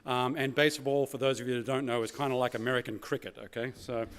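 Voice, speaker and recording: A man's voice: mid-range voice, level tone, fast, a bit bright, smooth. A man with an Australian accent giving an informal keynote presentation, conversational and peppered with casual tags like "you know" and "right?". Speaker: keynote speaker.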